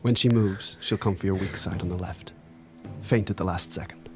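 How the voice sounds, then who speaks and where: Low-voiced terse combat guide acted by a man. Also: moderate pace. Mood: tense, instructing. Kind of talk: audio drama acting. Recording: loud music over drama clip. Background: loud music.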